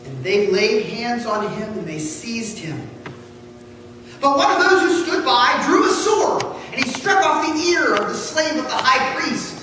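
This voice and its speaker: A male pastor giving a solemn, dramatic scripture reading with measured, emphatic phrasing. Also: extremely high pitch, lively intonation. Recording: echoey, noisy hall from far off.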